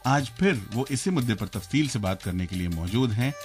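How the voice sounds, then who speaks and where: A man's voice: mid-range voice, expressive, moderate pace, over music. A man co-hosting a radio show, making announcements and addressing listeners in a courteous, formal way. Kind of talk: radio presenting, announcements and co-host chat.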